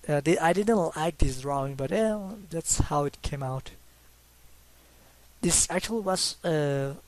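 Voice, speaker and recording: A man's voice: a little high, slow, pauses often, darkish tone. Hesitant young man with a Mexican accent. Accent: Mexican Spanish. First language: Spanish. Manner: hesitant, many fillers and restarts; self-conscious, laughs a little. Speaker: hobby artist. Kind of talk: casual talk over his drawings.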